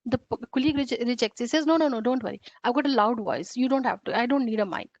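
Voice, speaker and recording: A woman's voice: a little high, quick pace, dry recording. A woman with an Indian English accent giving an online training lecture in an earnest, repetitive way with many fillers and restarts. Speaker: faculty development trainer. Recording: dull lo-fi, dry online call audio.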